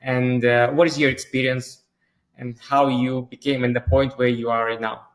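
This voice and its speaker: A man's voice: medium pitch, little pitch movement, moderate pace, rough recording. A man hosting a podcast, reading a scripted intro and asking his guest questions. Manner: reads intro from script, then asks questions with fillers. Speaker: podcast host.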